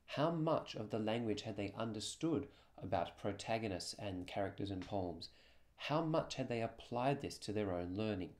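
Low-pitched man presenting a lesson. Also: expressive, normal speed, darkish tone. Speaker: music teacher presenting student project. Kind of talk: scripted presentation narration.

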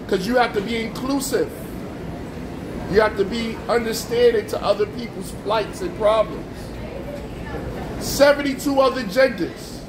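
A man preaching on the street, forceful and repetitive, firing rhetorical questions at passers-by. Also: very high voice, reverberant, noisy background. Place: outdoors on a street with passing traffic.

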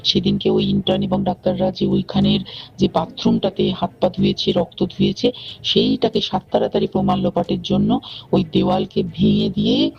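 A man's voice: extremely low pitch, level tone, normal speed, vocal fry. A man giving an informal, detailed account on a recorded call, emphatically repeating phrases. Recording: dull lo-fi call recording, faint music.

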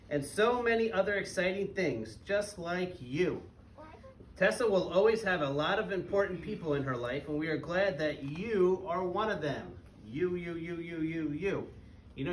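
A man's voice: a little high, fairly bright tone. A man in his thirties reads a picture book aloud to young children, using simple phrasing and putting questions to them as he goes. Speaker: parent guest reader at a school. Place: small elementary school classroom. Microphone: camera a few metres away, speaking through face mask. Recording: roomy, distant mic with background noise.

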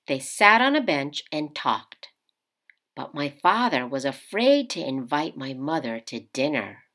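A woman with a slightly low voice reads a children's story aloud. Her delivery is unhurried and deliberate, with expressive swings in pitch that give emphasis for young listeners. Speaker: storyteller reading a picture book aloud.

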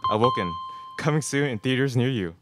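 A man's voice: mid-range voice, animated, brisk, over loud music, lightly acted. A young man playing a solemn, ominous narrator of a science fiction trailer parody. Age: young adult. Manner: solemn, declamatory. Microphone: microphone on stand.

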